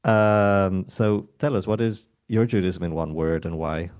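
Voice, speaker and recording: A man's voice: deep voice, fairly even intonation, a little slow, a little mellow. A man hosting a panel discussion, introducing guests casually and laughing often. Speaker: host.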